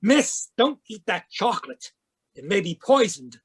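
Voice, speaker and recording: A man's voice: sits high, very animated, unhurried, dry recording, studio quality, heavily acted. A man playing a crusty, irritable older man in a radio drama read live over a video call. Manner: gruff, irritable, suspicious. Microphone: home microphone on a video call.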